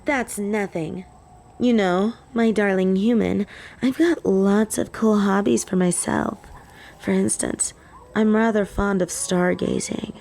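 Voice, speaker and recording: A woman's voice: mid-range voice, steady tone, takes it slowly, gravelly, a little mellow, slight background noise. A woman playing a possessive, haughty female vampire in an audio roleplay, taunting and theatrical, with clingy endearments. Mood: boastful, adoring. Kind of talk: scripted audio roleplay acting.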